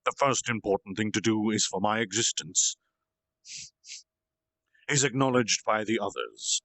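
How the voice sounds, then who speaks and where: A man voicing a gentle, earnest slime monster leader who was once human, speaking in a solemn, halting confessional way for a storytime reading. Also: lowish voice, animated, no room sound, rough recording. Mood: earnest, reasoning.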